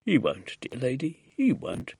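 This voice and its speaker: A storybook narrator playing a mild, courteous gentleman who is polite and reassuring. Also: medium pitch, huge pitch swings, unhurried, vocal fry, husky, dry recording, exaggerated voice.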